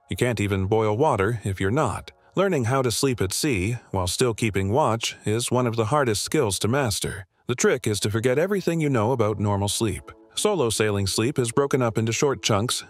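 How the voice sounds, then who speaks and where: Male voice-over narrator, lowish voice, calm and measured, soft music underneath. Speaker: solo sailor narrator.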